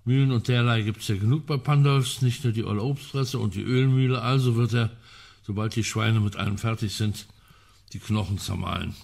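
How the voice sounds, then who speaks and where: A man acting in a German radio crime drama as a gruff, sardonic colonel who muses aloud emphatically. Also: on the low side, fairly even intonation, moderate pace, a little mellow. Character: gruff, sardonic male colonel in an amateur sleuthing trio.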